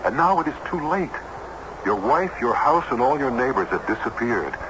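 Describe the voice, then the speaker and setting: An actor playing an earnest, urgent traveler who narrates a supernatural tale in a literary, dramatic old radio style. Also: male voice, medium pitch, sing-song, normal speed, natural voice. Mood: pleading, earnest. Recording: muffled, very noisy old radio recording.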